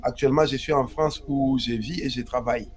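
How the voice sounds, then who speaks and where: A man's voice: medium pitch, steady tone, a little fast, a little hiss or hum. A man from the Democratic Republic of Congo, interviewed as an activist, talking emphatically and repeating his key phrases. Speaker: guest activist. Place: Paris.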